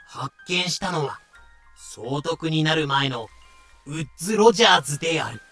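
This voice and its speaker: A man's voice: a little high, animated, moderate pace, pushed and bright, soft background music. A man delivering scripted dramatic narration as the storyteller.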